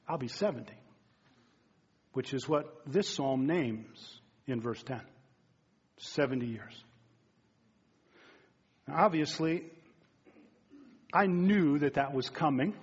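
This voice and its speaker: A man's voice: on the high side, husky. A man in his fifties preaching a sermon, talking in an earnest, conversational way and turning now and then to speak straight to the congregation. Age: 50s. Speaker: pastor. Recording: dull, muffled sound with long hall reverb.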